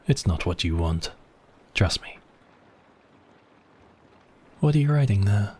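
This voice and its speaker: A man acting as a suave, obsessive, mocking vampire count in an intimate ASMR roleplay, with silky, teasing, drawn-out phrasing. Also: fairly low pitch, expressive, darkish tone, breathy edge, exaggerated voice. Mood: mock sympathy.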